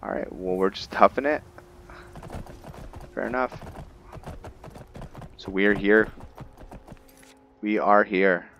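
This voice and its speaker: A man giving unscripted roleplay commentary over a video game, halting and deliberate, with long pauses. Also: fairly low pitch, fairly bright tone, highs cut off, slight background noise. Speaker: gamer hosting a roleplay playthrough.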